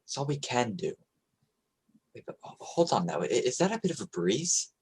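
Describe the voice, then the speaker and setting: A young man playing a steady, commanding sailing captain in an amateur radio play. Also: mid-range voice, moderate pace, a little hiss or hum. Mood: watchful.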